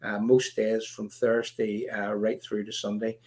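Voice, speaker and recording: A man with a Northern Irish accent hosting a live stream, talking in a halting, rambling way full of fillers, his voice croaky. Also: fairly low pitch, flat delivery, darkish tone. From Northern Ireland. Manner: halting, many fillers and restarts. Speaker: livestream host.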